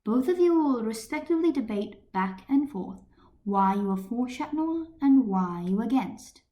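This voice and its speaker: A woman's voice: a little high, animated, a little slow, highs cut off. A woman voicing a firm, orderly female schoolteacher with an instructive manner, in an amateur story reading. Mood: directing.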